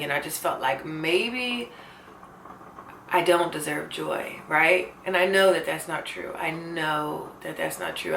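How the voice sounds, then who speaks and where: A woman's voice: sits low, creaky, a bit bright, reverberant, a little hiss or hum, rough recording. A woman in her twenties talking candidly to her camera, earnest and reflective, often saying "like" and "you know.". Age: 20s. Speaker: vlogger. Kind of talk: candid personal talk to camera. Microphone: camera mic.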